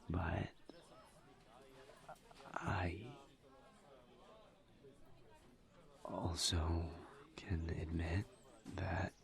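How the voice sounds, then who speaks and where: A man playing a courteous, earnest incubus demon in an intimate audio roleplay, speaking softly and breathily in halting, formal phrases. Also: low-pitched, exaggerated voice. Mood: hesitant, confessional. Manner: hesitant, halting, formal wording, soft-spoken and breathy. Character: courteous, earnest male incubus demon, awkward among friends.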